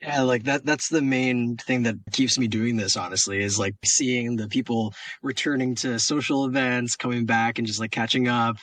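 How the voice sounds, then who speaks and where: A man's voice: mid-range voice, fairly even intonation, normal speed, hardly any pauses, fairly bright tone, dry recording. Young guy hosting a chill interview, says awesome a lot, dry close sound. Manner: friendly, casual, says "awesome" a lot and restarts words. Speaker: program founder and interviewer.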